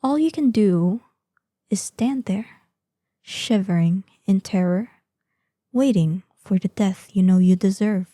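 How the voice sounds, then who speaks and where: Woman voicing a slow, dark-toned, imperious she-dragon. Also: medium pitch, no echo, clean studio sound. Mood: languid threat. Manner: slow, imperious, savoring threats. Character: ancient, menacing, proud female dragon guarding hoard, lonely, excitable. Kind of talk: scripted audio roleplay acting.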